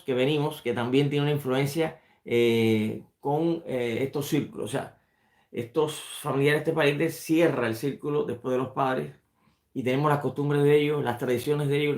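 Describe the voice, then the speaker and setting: A man's voice: medium pitch, gravelly, roomy sound. A man who is a rabbi, giving an unscripted religious lesson and often stopping to repeat a word as he searches for the next one. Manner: halting, repeats words while searching for them. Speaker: rabbi teaching a study class.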